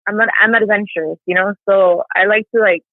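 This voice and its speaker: A woman's voice: medium pitch, fairly even intonation, fairly quick, no echo. A young woman chatting casually as an interview guest over a phone call, peppering her talk with "like" and "you know.". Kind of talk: casual chat. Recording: tinny phone line, dry.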